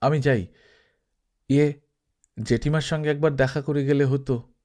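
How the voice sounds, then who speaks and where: A man's voice: medium pitch, steady tone, measured pace, darkish tone, natural voice. A man voicing a shy, polite young male student who speaks in a hesitant, faltering way in an audiobook story. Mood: apologetic, shy.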